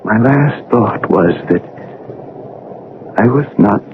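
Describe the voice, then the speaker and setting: An actor playing a brilliant, impatient young male scientist in a radio drama, speaking with intense, deliberate delivery. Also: mid-range voice, animated, background noise. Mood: grim, tense. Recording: muffled old radio broadcast, music behind.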